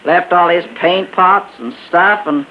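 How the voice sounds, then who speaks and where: A woman's voice: lowish voice, unhurried, close to an everyday voice. An actress playing a defensive, blunt American rooming-house landlady with slangy talk in an old radio crime drama. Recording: muffled, noisy old radio recording.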